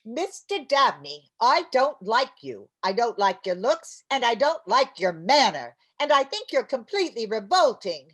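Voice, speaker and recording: A woman acts an exasperated, scatterbrained housewife in the style of old-time radio comedy. Her voice sits a little high, and she sounds earnest, flustered and insistent. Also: very animated, a little slow, few pauses, fairly bright tone, husky, full character voice. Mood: indignant. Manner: fretful, insistent.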